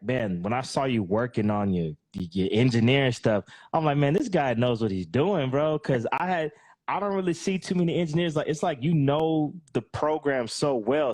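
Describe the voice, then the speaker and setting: A man's voice: mid-range voice, darkish tone, no room sound. A man hosting a podcast, chatting casually with a guest and ending his lines with "man" and "bro". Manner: laid-back slangy talk, "man" and "bro" at the end of lines. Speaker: podcast host. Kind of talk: casual interview chat.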